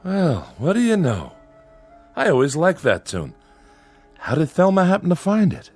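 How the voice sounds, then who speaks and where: Nervous folksy father, pitch swinging widely. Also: wildly swooping pitch, darkish tone, slightly rough, faint music behind, heavily acted. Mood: wistful. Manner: folksy, rustic grammar; nervous, forced cheerfulness with halting stammers. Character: anxious small-town father placating his feared son. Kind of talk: radio drama acting.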